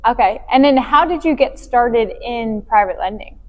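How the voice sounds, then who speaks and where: A woman hosting a casual interview, upbeat with quick reactions. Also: on the high side, animated, dull audio. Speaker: podcast host. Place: conference venue.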